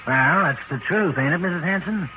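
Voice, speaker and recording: A man's voice: a little high, fairly quick, lightly acted. An actor playing a brash, slangy hired hand in a 1940s radio drama, cocky and blunt in his talk. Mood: pressing, insinuating. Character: brash, pushy, slangy young hired hand, male, scheming. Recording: noisy, tinny 1940s radio recording.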